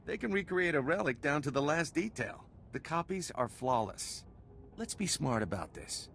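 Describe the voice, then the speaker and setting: An actor playing a greedy, cynical smuggler and counterfeiter in a video game, with a gravelly voice. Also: male voice, fairly high pitch, sing-song, moderate pace, fairly bright tone, a little hiss or hum, full character voice. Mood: bargaining.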